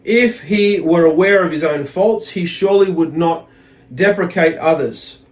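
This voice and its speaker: A man giving a religious lesson as a teacher, speaking in a deliberate, explanatory way and repeating phrases for emphasis. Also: sits high, poor audio. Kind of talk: religious lesson with readings from a text.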